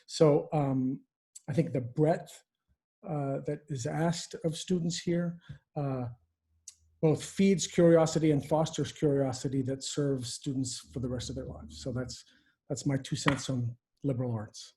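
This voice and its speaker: A man who teaches theater, speaking thoughtfully on a video-call webinar panel, pausing and restarting often. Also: medium pitch, steady tone, mellow. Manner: thoughtful, hesitant, many fillers and restarts. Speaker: theater professor.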